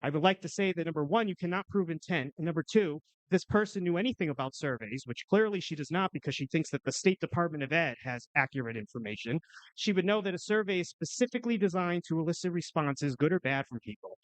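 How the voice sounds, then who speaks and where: A man with a notably high, smooth and clear voice addresses a public meeting. He reads prepared remarks in an argumentative, emphatic way, leaning on rhetorical phrasing. The sound is dry, with little room echo. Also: fast. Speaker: public commenter at a school board meeting.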